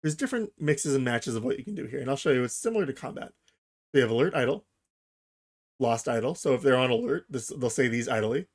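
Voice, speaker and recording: A man narrating a casual software tutorial, explaining things informally, step by step, as its host. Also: mid-range voice, lively intonation, fast.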